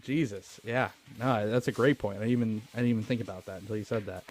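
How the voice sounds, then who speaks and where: A man's voice: lowish voice, fairly even intonation, fast talker, slight background noise. A man hosting a movie podcast in a casual chat, joking, swearing and putting himself down. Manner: joking, profane, self-deprecating. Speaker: podcast host.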